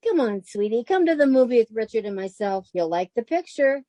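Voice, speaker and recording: A woman playing a practical, self-assured young roommate in a 1940s-style radio comedy, brisk and a little bossy. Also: fairly high pitch, lively intonation, warm tone, no echo, clean studio sound, close to an everyday voice. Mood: coaxing.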